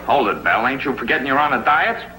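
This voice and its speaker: An actor playing a sarcastic wisecracking neighbor. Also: male voice, mid-range voice, lively intonation, fast talker, natural voice. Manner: sarcastic, teasing. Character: wisecracking neighbor, sarcastic, fussy about ailments. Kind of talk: 1950s sitcom acting. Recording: roomy old TV soundtrack with hiss.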